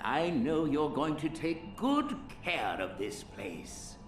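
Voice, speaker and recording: A kindly, eccentric hologram of an alien inventor for a game. He speaks slowly and whimsically, pitch swooping widely, like a doting father. The sound is echoey, with music behind. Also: huge pitch swings, exaggerated voice. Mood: affectionate. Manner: whimsical, drawn-out, swooping delivery. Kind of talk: video game cutscene acting.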